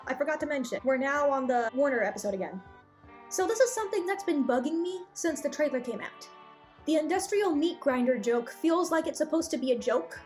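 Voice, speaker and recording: A woman reviewer giving casual, opinionated cartoon commentary with animated asides and exclamations. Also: sits high. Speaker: video reviewer.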